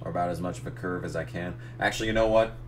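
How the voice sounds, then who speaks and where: Young man, low voice going hoarse, casual self-narrating editor who swears at the screen. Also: racing, slight background noise. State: losing his voice. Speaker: gaming channel host. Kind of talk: casual tutorial commentary while editing.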